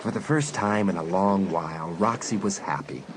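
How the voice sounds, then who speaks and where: A man's voice: low-pitched, moderate pace, very noisy. A man narrating a suspense story as a dramatic scripted audiobook. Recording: music bed under narration.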